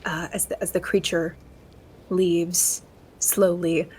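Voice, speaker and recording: A woman's voice: fairly low pitch, level tone, takes it slowly, a little mellow, a little hiss or hum, poor audio. A woman playing a tabletop roleplaying game, describing her character's actions in the third person. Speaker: player.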